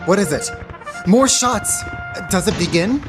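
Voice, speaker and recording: An actress in a radio play as an ardent, earnest lover with a husky voice and a fervent, poetic delivery. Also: female voice, medium pitch, lively intonation, measured pace, lightly acted. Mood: uneasy, questioning. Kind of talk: radio theater acting. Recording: music under the dialogue.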